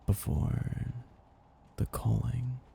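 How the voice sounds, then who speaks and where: Casting: male actor as ancient vampire master for ASMR roleplay, very dark and breathy, gravelly edge, possessive, teasing. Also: mid-range voice, lively intonation, drawn-out words, slight background noise, exaggerated voice. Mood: teasing, condescending. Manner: languid, teasing, condescending endearments, soft chuckles. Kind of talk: intimate ASMR roleplay monologue.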